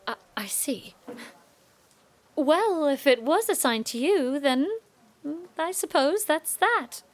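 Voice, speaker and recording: An actress playing a sharp-witted, teasing young noblewoman student in an audio drama, with an arch, dry delivery. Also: high-pitched, animated, takes it slowly. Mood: suspicious, playful.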